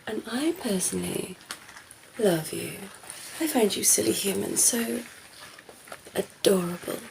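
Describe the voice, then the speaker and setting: A woman voicing a cheeky, flirtatious female vampire in an ASMR roleplay, breathy and often whispering, teasing and cooing with condescending affection. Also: medium pitch, a little slow, noisy background. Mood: flirty, doting.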